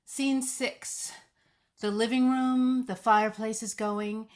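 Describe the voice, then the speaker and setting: A woman's voice: a little high, steady tone, takes it slowly. A woman reading screenplay stage directions as scripted narration.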